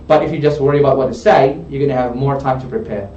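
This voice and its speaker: A man's voice: mid-range voice, fast, mellow. A man working as an English tutor, coaching a student in a studio and often checking in with "okay?". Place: studio room. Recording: roomy, distant, dull studio sound.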